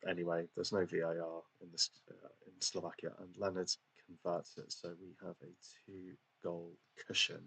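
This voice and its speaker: A low-voiced man talks through his football management game in a relaxed, rambling way, full of ums and little jokes at his own expense. His voice is deep and gravelly, a touch husky, and stays fairly flat in pitch. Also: normal speed, hardly any pauses, rough recording. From England. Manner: chatty, hesitant, many ums, self-deprecating. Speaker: gaming channel host. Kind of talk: casual game commentary.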